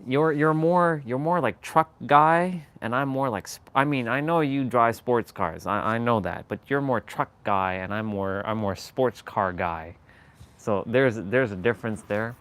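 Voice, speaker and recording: A man's voice: mid-range voice, unhurried, a bit bright, clear, resonant tone. A Canadian man hosting a live stream and chatting casually, rambling and searching for words, with frequent laughs. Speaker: livestream host.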